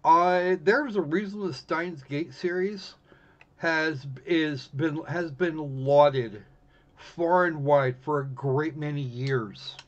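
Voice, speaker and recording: A man's voice: fairly high pitch, slow. A man giving casual, snarky live commentary as he plays a visual novel, exclaiming and reading bits aloud. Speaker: streamer playing a visual novel. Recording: dull lo-fi microphone sound.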